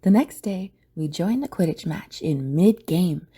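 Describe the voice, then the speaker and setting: Woman narrator, mellow voice, very lively intonation, dramatic scripted storytelling, music behind. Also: on the low side, a little slow, slightly rough.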